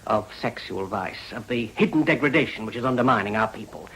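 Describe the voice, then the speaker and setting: Husky, quick-talking crusading editor. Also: male voice, mid-range voice, a bit bright, rough recording. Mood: grave, insistent. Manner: formal, persuasive. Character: zealous, insistent, flattering crusading newspaper editor. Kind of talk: period radio drama acting.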